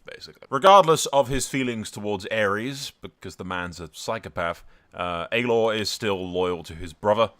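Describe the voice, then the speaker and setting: A man hosting a gaming video, giving casual, excitable and sweary commentary with some roleplay storytelling. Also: fairly low pitch.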